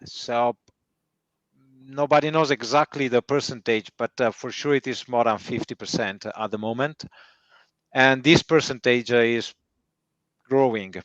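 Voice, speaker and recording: A man with an Italian accent, answering interview questions slowly with many hesitant fillers. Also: mid-range voice, a bit bright, dry recording, lo-fi sound. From Italy. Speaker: guest timber engineer.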